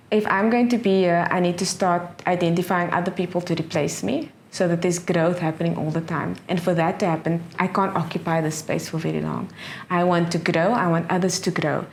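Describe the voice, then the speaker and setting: A middle-aged South African woman, a professor speaking as an interview guest, earnest and quick to laugh, often restarting her phrases. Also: on the low side, vocal fry, slight background noise. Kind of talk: casual interview answers.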